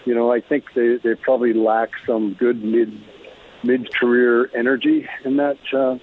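Male, middle-aged, Canadian, husky tone, relaxed interview storytelling, dull lo-fi audio. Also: medium pitch, normal speed, dry recording. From Alberta, Canada. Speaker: former pro hockey player, interview guest. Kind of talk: casual reminiscing interview. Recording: dull phone-like lo-fi sound.

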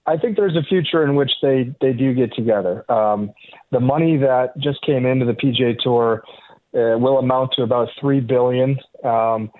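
A man's voice comes through a narrow, phone-like connection. He is a golf journalist being interviewed on sports radio, laying out his opinions in a conversational, explanatory and measured manner. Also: medium pitch. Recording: thin, tinny phone-like connection.

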